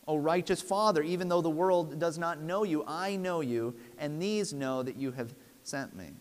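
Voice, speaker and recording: A man in his thirties preaching a sermon from a church pulpit in an earnest, emphatic, deliberate way. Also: on the high side. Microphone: lapel mic. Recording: long hall reverb.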